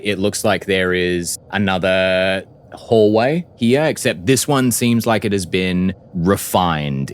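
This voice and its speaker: A man running a tabletop roleplaying game, describing scenes vividly to the players as game master. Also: low-pitched.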